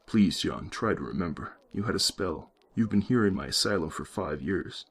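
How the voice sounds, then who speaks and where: A man voicing an older male asylum doctor who speaks in a slow, consoling, condescending way. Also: sits low, lively intonation, slight background noise. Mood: patronizing.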